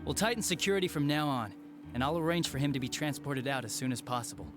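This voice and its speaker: A man's voice: medium pitch, quick pace, music in the background, lightly acted. A man playing a casual, slightly harried young police detective in a video game. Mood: appeasing. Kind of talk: English video game voice acting.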